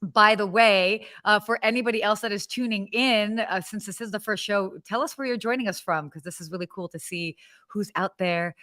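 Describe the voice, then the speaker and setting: A woman's voice: mid-range voice, moderate pace, bright, forceful tone, no echo, studio quality. A woman in her thirties from the United States, co-hosting a live hospitality show in a bubbly, chatty way with lots of fillers and restarts. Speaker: podcast co-host. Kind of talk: casual live-show chat.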